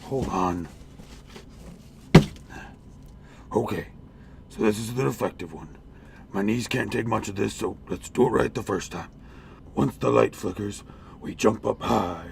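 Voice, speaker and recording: A man playing a gruff, eccentric old homeless man in a comic radio play. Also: on the low side, normal speed, background noise. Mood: instructing. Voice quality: creaky, coughing. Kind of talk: comic radio theatre acting.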